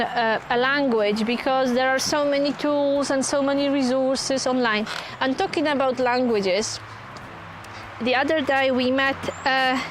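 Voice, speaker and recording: A woman's voice: sits high, a little hiss or hum, poor audio. Polish-accented woman chatting live. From Poland. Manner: chatty, reading viewer comments aloud. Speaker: channel host. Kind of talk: casual live-stream chat. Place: touring caravan.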